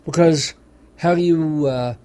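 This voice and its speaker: A man's voice: fairly high pitch, unhurried, mellow, slight background noise. A man hosting a radio show, talking in a wry, mock-serious way with amused asides. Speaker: radio host. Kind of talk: casual radio commentary. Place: radio studio.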